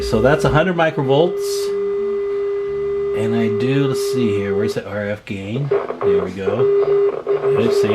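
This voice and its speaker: Low-voiced older man, folksy and rambling. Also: very noisy. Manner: plain-spoken, folksy, rambling. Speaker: host, radio repair hobbyist. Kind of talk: casual hands-on demonstration.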